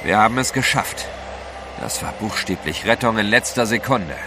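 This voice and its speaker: An actor in a German radio drama playing a resolute, level-headed gentleman adventurer, articulate and commanding. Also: lowish voice, lively intonation, normal speed, background noise. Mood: relieved.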